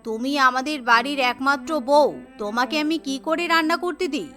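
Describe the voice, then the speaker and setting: A woman's voice: high voice, fairly quick, a bit bright, faint music behind, voice pushed down. A woman voicing an imperious middle-aged mother-in-law in a cartoon, commanding and scolding. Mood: insistent. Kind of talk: cartoon character dialogue.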